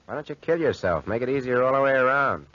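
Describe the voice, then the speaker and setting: A man's voice: mid-range voice, lively intonation, quick pace, no echo, lightly acted. An actor playing a cynical, callous young schemer in a 1940s radio drama, with slangy American tough-guy talk. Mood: cold, defiant. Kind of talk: 1940s radio drama acting with first-person narration. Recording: muffled, band-limited 1940s radio recording.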